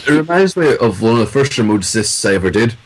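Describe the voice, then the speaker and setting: A man's voice: medium pitch, expressive, fast, a crowd in the background. A man who works in a call center, chatting casually and telling stories from his job. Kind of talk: casual podcast chat.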